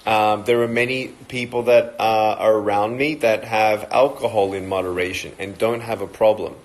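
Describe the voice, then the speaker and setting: A man's voice: lowish voice, fairly even intonation, moderate pace. A man in his thirties with an Australian accent, chatting casually and joking as he greets viewers on a livestream talk. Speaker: motivational speaker.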